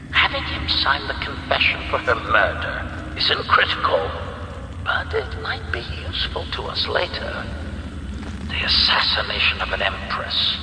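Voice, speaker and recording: A cold, menacing male official, speaks low with big swings in pitch. He is formal and calculating, a video game villain in an echoey hall with noise behind him. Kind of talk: dramatic video game dialogue.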